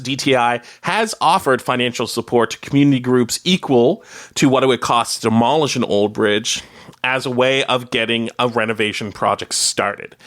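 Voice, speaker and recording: A man with a mid-pitched voice presents local news as a community radio host. His delivery is informal and playful, slipping between reading aloud and chatty commentary. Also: fairly bright tone. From Canada.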